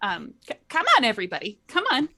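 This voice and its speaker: A woman playing a polite, practical, mom-like monster hunter in a tabletop roleplaying game, gently bossy and sensible. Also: medium pitch, sing-song, quick pace, heavily acted. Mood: practical, coaxing.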